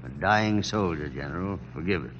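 An actor playing a grave, commanding army general in old radio drama, speaking in a formal, stately way. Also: male voice, deep voice, brisk, fairly bright tone, dull audio, soft background music. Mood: strained. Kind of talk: 1940s-50s radio drama acting. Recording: faintly noisy old radio recording.

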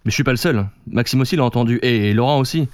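Male actor as worn-out obsessed man, mid-range voice, halting, agitated, repetitive, naturalistic audio fiction. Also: medium pitch, a little hiss or hum, close to an everyday voice. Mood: fretful. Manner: agitated, halting, repeating himself. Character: exhausted, obsessed man tormented by a phantom noise. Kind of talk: naturalistic French audio drama acting.

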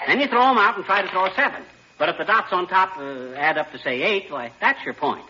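An American man playing a brash, folksy middle-aged husband and fast-talking schemer in 1940s radio comedy style. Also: high voice, expressive, bright, forceful tone, breathy edge, exaggerated voice. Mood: instructing. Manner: folksy, slangy, swooping delivery. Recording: dull, noisy old 1940s radio recording.

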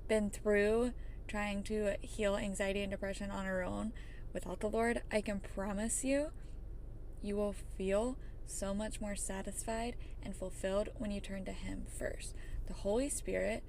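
A woman's voice: mid-range voice, gravelly, a little mellow. A young woman with a scratchy voice hosting a casual faith podcast, talking earnestly and chattily straight to her listeners. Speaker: podcast host.

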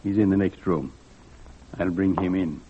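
An actor playing a kindly, avuncular judge in an old-time radio drama, genial and deliberate, with a husky voice. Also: male voice, deep voice, steady tone, a little hiss or hum, lightly acted. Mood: measured, grave. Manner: genial, measured, hemming. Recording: tinny, muffled vintage radio recording.

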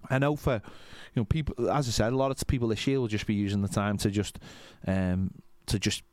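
A man's voice: on the low side, fairly even intonation, fairly quick, darkish tone, no echo. A man co-hosting a podcast in loose, casual banter with his fellow hosts. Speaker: podcast co-host.

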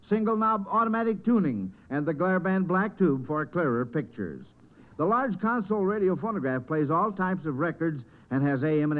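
Folksy male quiz host for vintage radio, encouraging, highish voice, phone-like sound. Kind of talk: old-time radio quiz hosting.

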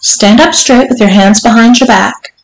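A woman voicing a domineering, teasing, strict mistress in an audiobook, speaking in an imperious, deliberate way. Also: medium pitch, expressive, natural voice. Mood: commanding, probing. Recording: roomy, echoing room sound.

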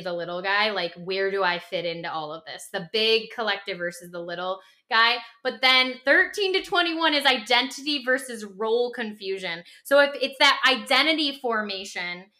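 A woman co-hosting a podcast, explaining ideas casually and emphatically with rhetorical questions. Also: medium pitch. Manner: animated, emphatic, uses rhetorical questions and acted-out quotes. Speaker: podcast co-host.

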